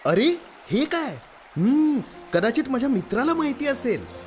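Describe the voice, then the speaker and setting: A man's voice: extremely high pitch, sing-song, normal speed, a little hiss or hum. A man playing a simple, curious fisherman in a children's cartoon story, wondering and naive. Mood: curious, pleased.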